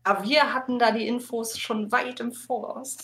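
A woman's voice: a little high, steady tone, moderate pace, fairly bright tone, slight background noise. A woman chatting casually as a podcast guest, talking in a chatty, enthusiastic way. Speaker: podcast guest, fan podcast co-host. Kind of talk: casual interview chat.

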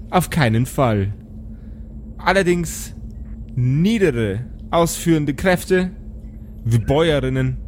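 A man's voice: mid-range voice, a little hiss or hum. A man voicing a haughty, menacingly polite female megacorporation boss in an improvised audio drama, speaking formally and condescendingly. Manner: formal, slow, condescending, drawn-out phrasing.

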